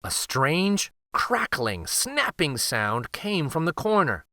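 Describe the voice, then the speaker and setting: Male children's story reader: unhurried, very expressive, bright tone, dry close recording, dramatic picture-book delivery. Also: fairly high pitch, no echo. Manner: expressive, deliberate, theatrical.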